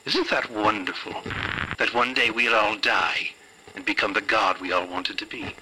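A man's voice: fairly low pitch, steady tone, moderate pace, sharp tone, a little hiss or hum, poor audio. A man voicing an eerie, erudite spirit who lectures grandly through a radio-like ghost box in a tabletop game. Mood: musing, rapt. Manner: grandiose, musing, professorial. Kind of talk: theatrical in-character monologue.

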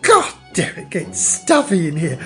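Man putting on a high-pitched, nagging older mother. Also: huge pitch swings, measured pace, soft background music. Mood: exasperated, scolding. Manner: brash, nagging, exclamatory. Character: overbearing, scolding, blunt older mother of grown son.

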